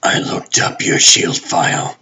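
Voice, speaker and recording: A man voicing a guarded, commanding TV producer who is secretly a superhero in a comic dub, speaking tersely and deliberately in a husky, rough voice. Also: sharp tone. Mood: serious, secretive.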